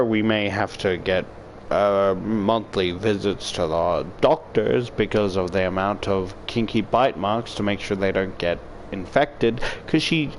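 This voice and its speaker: A man with a fairly low voice reads a visual novel out loud. He mixes the narration with joking, swearing commentary of his own. There is a faint layer of noise behind him. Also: poor audio. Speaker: video creator reading a game aloud.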